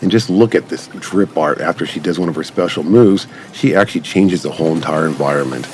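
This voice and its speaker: A man's voice: sits low, a little fast, a little mellow, a little hiss or hum. A man giving casual, rambling gameplay commentary, talking directly to viewers as a channel host. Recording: background game music.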